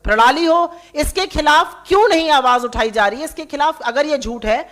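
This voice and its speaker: A woman's voice: high-pitched, moderate pace, a bit bright. A woman spokesperson making an emphatic, accusatory press conference statement, pressing her points with rhetorical questions. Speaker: political party spokesperson.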